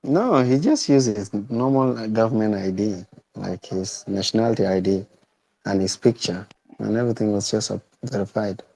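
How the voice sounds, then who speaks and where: A man from South Sudan talks as a guest on a casual audio call. His voice is low-pitched and mellow. He speaks haltingly and often repeats a word before he gets going. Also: creaky, rough recording. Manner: halting, repeats words as he starts. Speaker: podcast guest.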